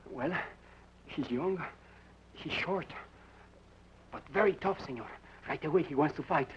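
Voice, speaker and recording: A nervous Mexican henchman acted by a man in an old radio drama. He speaks halting, accented English in a slightly high, deferential whine. The sound is dull with faint hiss. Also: natural voice. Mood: nervous, pleading. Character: cowed, excuse-making Mexican henchman, a man. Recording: muffled, noisy old radio recording.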